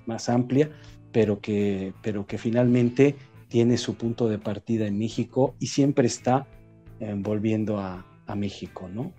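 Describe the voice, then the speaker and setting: A man with a Mexican accent, a writer answering interview questions in a reflective, halting way with frequent restarts. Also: lowish voice, mellow. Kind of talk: conversational interview.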